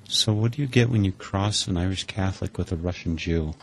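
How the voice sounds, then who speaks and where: American man, low-pitched, interview host, reflective, wry, conversational. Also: brisk, gravelly, background noise. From Wisconsin, US.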